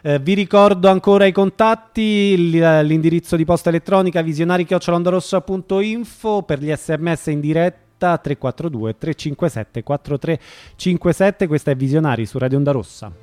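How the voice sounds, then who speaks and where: A man's voice: fairly high pitch, fairly quick, a little mellow. A man hosting a live radio interview, informal and loosely phrased, with hesitations as he makes announcements and puts questions. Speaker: radio show host.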